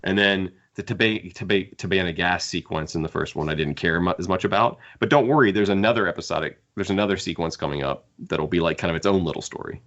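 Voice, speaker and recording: A man hosting a podcast, casually discussing books in a halting, opinionated fan's way. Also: low-pitched, fairly bright tone. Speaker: podcast host. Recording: dull lo-fi podcast audio.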